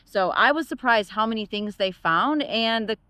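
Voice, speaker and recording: A woman's voice: a little high, lively intonation, normal speed, sharp tone, clear, resonant tone, dry recording. A woman with a Michigan accent co-hosting a travel vlog outdoors, chatting casually and thinking aloud as she talks. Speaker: travel vlogger. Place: outdoors at a park.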